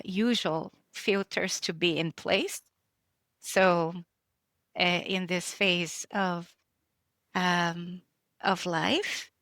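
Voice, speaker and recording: A woman's voice: fairly low pitch, a bit bright, no room sound. A middle-aged woman, an author and educator, talking casually with many fillers and restarts. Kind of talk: casual conversation.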